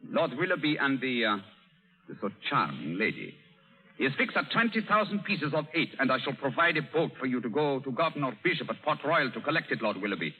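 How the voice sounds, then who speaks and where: An actor playing a menacing French pirate captain with a French accent, mock-courteous and theatrical, in a vintage radio drama. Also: male voice, medium pitch, very animated, fairly quick, narrow, tinny audio, faint music behind, close to an everyday voice. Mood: smug menace. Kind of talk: 1930s-40s radio drama acting. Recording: band-limited old radio broadcast.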